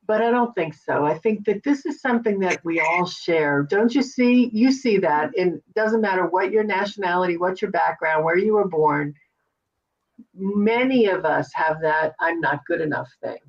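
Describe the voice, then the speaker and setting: A woman's voice: lowish voice, lively intonation, normal speed. A woman hosting an interview show, speaking in an encouraging way with effusive thanks. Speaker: host. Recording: distant, dull lo-fi sound.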